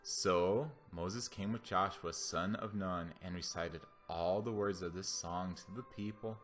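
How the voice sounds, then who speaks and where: A man's voice: low voice, fairly even intonation, measured pace, fairly bright tone, soft background music. A man gives a dramatic, solemn and declamatory reading of scripture. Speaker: scripture reader.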